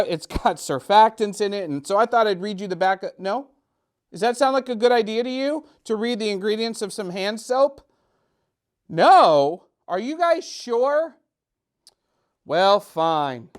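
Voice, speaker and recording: A middle-aged man hosting a children's storytime in a casual, playful and self-deprecating way, chatting and joking straight to young listeners. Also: high-pitched. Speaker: storytime host.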